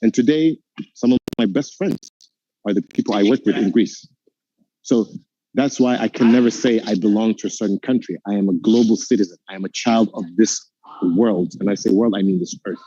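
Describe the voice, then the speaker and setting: A man's voice: lowish voice, fairly quick, mellow, muffled recording. A middle-aged man from Uganda with an American-tinged accent, giving an earnest, motivational interview answer. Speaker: guest entrepreneur.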